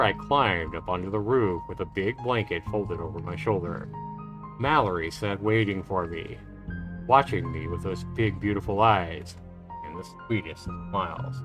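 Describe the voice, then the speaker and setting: A man hosting a livestream, reading a visual novel aloud in a measured storytelling way. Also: low-pitched. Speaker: livestream host. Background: loud music and birdsong.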